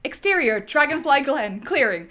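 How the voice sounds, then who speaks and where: A woman reads stage directions aloud with lively energy. Her voice sits a little high, and soft music plays faintly behind her. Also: moderate pace. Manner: lively, breaking into laughter. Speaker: narrator reading stage directions. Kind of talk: dramatic script reading.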